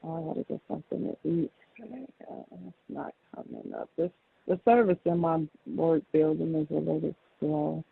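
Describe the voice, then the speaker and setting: Creaky-voiced woman on a muffled phone call. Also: sits low, sing-song, normal speed. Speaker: insurance customer on a call. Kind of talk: casual phone conversation. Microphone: phone line. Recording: dull, band-limited phone call recording.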